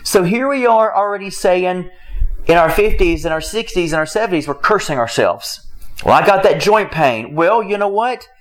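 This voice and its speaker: A man's voice: high-pitched, expressive, normal speed, low-quality recording. A man preaching a motivational sermon, emphatic and repetitive, who asks rhetorical questions and acts out listeners' excuses. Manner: acts out quoted listeners' excuses in other voices.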